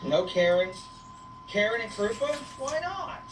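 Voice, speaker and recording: A woman's voice: medium pitch, very animated, unhurried. A woman hosting a casual livestream on a goat farm, playfully teasing and chatting to viewers and animals. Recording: echoey, noisy farm livestream, distant mic.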